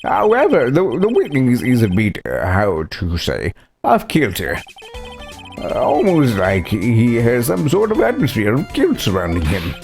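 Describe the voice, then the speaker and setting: A man's voice: mid-range voice, wildly swooping pitch, a little slow, vocal fry, darkish tone, soft background music, exaggerated voice. A man voicing a hesitant, stern elderly courtroom judge for a video game, stammering in formal phrases. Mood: hesitant, pondering.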